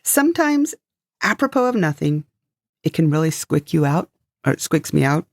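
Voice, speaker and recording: A woman with a creaky, fry-laden voice co-hosts a podcast. She talks in a casual, conversational style. The recording is dry and free of room sound. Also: deep voice, big pitch swings, moderate pace, dry recording. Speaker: podcast co-host.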